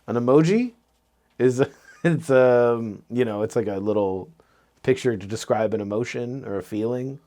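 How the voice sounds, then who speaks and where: A man's voice: mid-range voice, moderate pace, warm tone. A young man co-hosting a casual podcast, teasing and argumentative in friendly debate. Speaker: podcast co-host. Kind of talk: casual chat.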